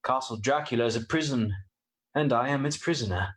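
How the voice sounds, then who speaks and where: An actor playing a polite, earnest young gentleman in a radio play, speaking precisely and formally. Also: mid-range voice, a little fast, close to an everyday voice. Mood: uneasy, grave. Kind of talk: radio play acting with diary narration.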